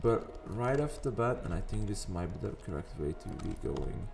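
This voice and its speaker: A man with a somewhat low, raspy morning voice talks casually over a game at his desk. He rambles and drifts into sidetracks as he plays. Soft background music runs quietly under his words. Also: sing-song, normal speed. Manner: chatty, rambling, wanders into sidetracks. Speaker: gaming video host. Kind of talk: casual gameplay commentary. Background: faint background music.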